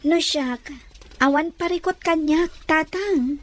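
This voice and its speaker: A very high-pitched young daughter in love, acted by a woman. She speaks as a devoted, searching character in a family audio drama. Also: takes it slowly. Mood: insistent. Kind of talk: Ilocano audio drama dialogue.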